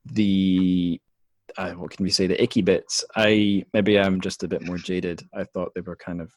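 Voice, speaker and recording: A man with a very low voice hosts a casual podcast chat, musing in a halting way and often starting his sentences over. The sound is close and dry, with no room around it. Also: flat delivery, a little mellow, no echo. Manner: thoughtful, hesitant, many restarts and fillers. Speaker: podcast host.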